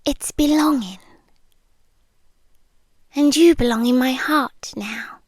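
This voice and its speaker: A British woman playing a centuries-old, possessively devoted monster girlfriend in an intimate ASMR roleplay, speaking softly and often slipping into breathy whispers. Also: high voice, slow, no room sound, clean studio sound. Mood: possessive, tender.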